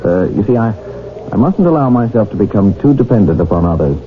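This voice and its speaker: A man's voice: lowish voice, very animated, fast talker, slight background noise. An actor playing an earnest, polite young blind man in a radio drama, speaking in a hesitant, apologetic way. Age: young adult. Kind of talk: radio drama acting and first-person narration. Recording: tinny, band-limited old radio drama broadcast.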